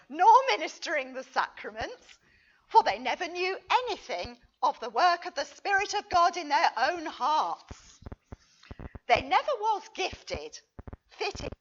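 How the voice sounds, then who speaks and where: A woman reading a history paper aloud as a conference speaker, expressive and emphatic, with a wry touch. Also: high voice, lo-fi sound. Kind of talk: prepared lecture read aloud to an audience.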